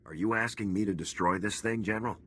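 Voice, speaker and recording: Forceful, low military officer. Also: male voice, fast talker. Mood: questioning. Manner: clipped, military, formal. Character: composed, authoritative male special forces major. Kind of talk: video game cutscene acting.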